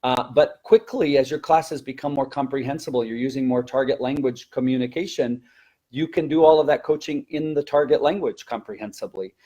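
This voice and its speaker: A man teaching in a conversational webinar style, explaining and demonstrating in an animated way as a presenter and teacher trainer. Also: medium pitch, brisk.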